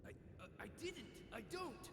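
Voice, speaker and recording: An actor playing an excitable, devoted little officer in a video game, theatrical and easily flustered. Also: male voice, very high voice, moderate pace, gravelly, music in the background, full character voice. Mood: flustered, pleading. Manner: theatrical, stammering when flustered.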